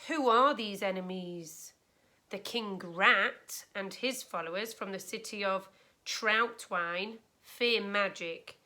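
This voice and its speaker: A woman teacher reading a storybook aloud to a class of children, expressively and with deliberate, explanatory care. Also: medium pitch, bright, forceful tone. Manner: clear, deliberate explaining to young listeners.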